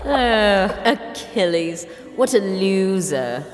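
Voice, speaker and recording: A woman plays a catty, flattering female adventurer in a tabletop game. Her speech is slow and exaggerated, swinging widely in pitch. The hall echoes and music plays underneath. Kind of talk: improvised tabletop roleplay.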